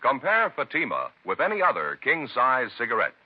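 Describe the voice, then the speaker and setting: A man announcing in a scripted 1950s radio style, deliberate and emphatic. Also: medium pitch, huge pitch swings. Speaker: radio announcer and cigarette pitchman. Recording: muffled old radio recording. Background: music.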